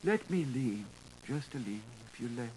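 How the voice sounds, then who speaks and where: A man reading a literary audiobook as a dramatic monologue, in an expressive, musing and intimate way with shifting emphasis. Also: mid-range voice, normal speed. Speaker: audiobook reader. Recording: noisy 1980s audiobook recording.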